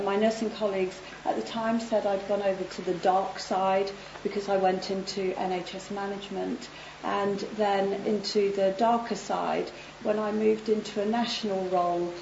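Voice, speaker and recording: A woman speaking on a conference panel, croaky as she recovers from laryngitis. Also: mid-range voice, little pitch movement, moderate pace, a little mellow, roomy sound, highs cut off, a little hiss or hum. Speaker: panel speaker, nurse turned health manager. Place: conference hall.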